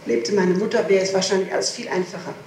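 A woman answers an interrogator haltingly, often restarting and repeating her words. She sounds distant, in a very reverberant room. It is an old 1960s tape with faint noise. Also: mid-range voice, fairly even intonation. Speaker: person questioned in a murder investigation. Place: echoey room, away from the microphone. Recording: echoey, noisy, distant 1960s tape recording.

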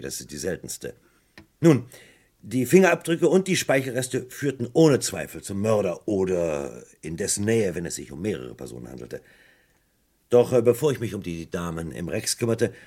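Low, husky, animated detective. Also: male voice, full character voice. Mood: reflective. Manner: deliberate questioning, dry, conversational. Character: persistent, wry male police detective recounting a case. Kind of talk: German radio crime drama acting.

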